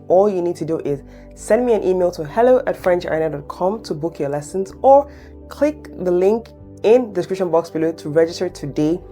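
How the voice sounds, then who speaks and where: Low-pitched woman from Nigeria hosting a lesson, encouraging tone, faint music underneath. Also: mellow. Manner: encouraging, checks in with "okay?". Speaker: French teacher and channel host. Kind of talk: explainer lesson to camera.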